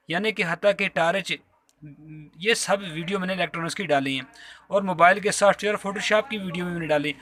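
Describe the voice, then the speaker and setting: A man's voice: high-pitched, bright, forceful tone. A man from Azad Kashmir with a Pakistani accent, explaining a hands-on repair step by step in a polite, informal, repetitive way into a handheld phone. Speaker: repair tutorial host. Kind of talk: informal hands-on tutorial narration. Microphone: handheld phone while working.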